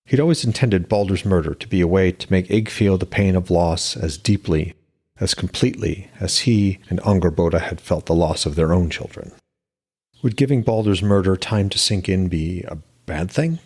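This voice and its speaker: A man's voice: deep voice, moderate pace, a little mellow, breathy edge. A man hosting a fiction podcast, speaking in a scripted narration style as an author reading his fantasy work. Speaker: podcast host, author reading own novel.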